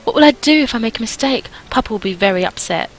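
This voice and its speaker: A woman's voice: a little high, expressive, quick pace, a little hiss or hum, voice pushed up. A woman voicing an innocent, earnest little girl who talks in a frank, childlike way. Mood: worried.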